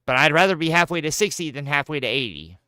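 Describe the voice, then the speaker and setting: A man in his thirties bantering as a gaming streamer, his voice swinging up and down with lots of life. The tone is bright and clean, and the sound is very dry and close, with no room around it. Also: fairly high pitch, fast talker, clear, resonant tone, no room sound. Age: 30s. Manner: joking, profane, animated banter. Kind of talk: casual chat while gaming.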